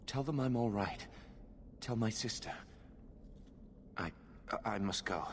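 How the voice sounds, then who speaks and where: An actor playing a frightened young recruit in a fantasy video game, stammering and pleading anxiously. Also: male voice, fairly low pitch, measured pace, a little hiss or hum. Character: frightened, shaken young male recruit rescued from captors. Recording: hall reverb with loud music behind.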